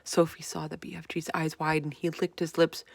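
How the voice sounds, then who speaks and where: A woman's voice: low-pitched, level tone, normal speed, gravelly, dry recording. A woman reading a children's storybook aloud as narrator. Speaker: storybook reader.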